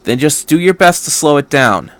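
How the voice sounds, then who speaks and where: A man voicing a brisk, resolute female military officer in a story, commanding and matter-of-fact. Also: a little high, lively intonation, fairly bright tone, no room sound. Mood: determined.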